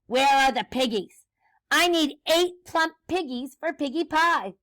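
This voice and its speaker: A woman voicing a bossy, short-tempered witch from a children's storybook, with cackling, screeching, shouted demands. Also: high-pitched, lively intonation, takes it slowly, no echo, pitched above the performer's own voice, exaggerated voice. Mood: pushy.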